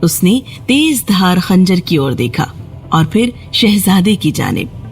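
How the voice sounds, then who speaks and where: Woman storyteller, scripted fairy tale narration, lively intonation, mid pitch, background music.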